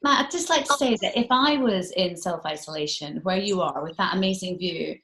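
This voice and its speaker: A woman's voice: on the low side, brisk, a bit bright. A British woman hosting a casual interview, chatty and sympathetic in manner. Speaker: radio interviewer. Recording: echoey room.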